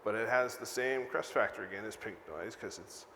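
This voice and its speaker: A man's voice: lowish voice, normal speed, a bit bright. An older man giving a technical conference lecture in an explanatory way, repeating points for emphasis, speaking on stage into a lapel mic. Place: large conference hall stage, indoors. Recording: echoey hall PA, some background noise.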